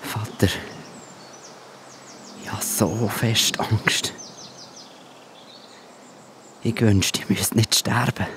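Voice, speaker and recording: Man playing gentle troubled Jesus, low slow voice, solemn halting phrases, insect and bird ambience, Bible radio play. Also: fairly even intonation, background noise. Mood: fearful, pleading. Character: gentle, troubled adult Jesus, submissive to his Father. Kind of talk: children's Bible audio drama.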